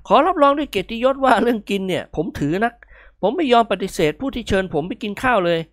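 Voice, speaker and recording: Woman as a brash, high-pitched comic male hero. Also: animated, fast, no echo, studio quality, close to an everyday voice. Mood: exasperated, persuasive. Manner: declamatory, loud, mock-grand.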